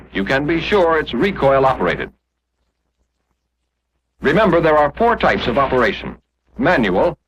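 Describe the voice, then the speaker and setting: A man's voice: mid-range voice, fairly bright tone, slight background noise. Muffled 1940s training film narrator, male. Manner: didactic, clearly explanatory, step by step. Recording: muffled, dull 1945 film soundtrack.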